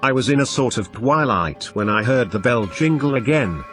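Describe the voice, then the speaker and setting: A man reading a scripted first-person horror story as an expressive narrator. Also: medium pitch, normal speed. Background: background music.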